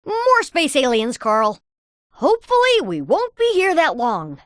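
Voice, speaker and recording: A high, animated boy genius for a cartoon video game. He is earnest and confident, with a precocious, scientific way of talking and pitch that swings freely. The sound is close and dry. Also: unhurried, no room sound, full character voice. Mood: wry, reassuring. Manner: precocious, wordy scientific phrasing. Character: brainy, upbeat boy inventor, earnest and confident.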